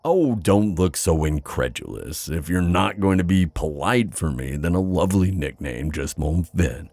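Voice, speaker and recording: A male actor voices a predatory, flirtatious villain for an intimate ASMR roleplay. His voice is extremely low and husky, recorded dry and close. He taunts slowly, with big swings in intonation. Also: expressive, darkish tone, no echo, heavily acted. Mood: teasing, menacing. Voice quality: very deep, gravelly, breathy. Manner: slow, taunting, smooth-talking with drawn-out pauses. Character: teasing, predatory male buyer at a supernatural auction.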